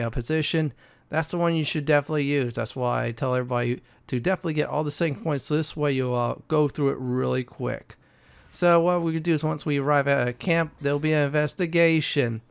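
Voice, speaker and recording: Gravelly man, very dry sound. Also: on the high side, no room sound. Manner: halting, hesitant delivery with frequent uhs. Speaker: gaming walkthrough host. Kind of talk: casual gameplay commentary.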